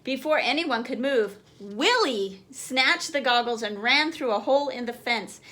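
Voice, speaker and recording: A woman's voice: on the high side, lively intonation, unhurried, sharp tone. A woman teacher reading a children's picture book aloud, expressively, as if putting questions to young listeners.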